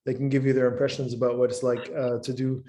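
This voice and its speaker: Middle-aged man from Canada, professor type, halting delivery, video-call audio. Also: medium pitch, flat delivery, mellow. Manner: hesitant, many ums and restarts. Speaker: professor and programme convener. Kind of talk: webinar presentation.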